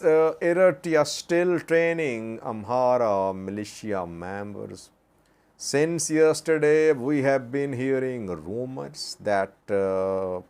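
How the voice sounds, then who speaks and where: Slow, halting male news commentator. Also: mid-range voice, a little mellow. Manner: halting, with long hesitations and frequent filler sounds; poses rhetorical questions. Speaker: news commentator and channel host.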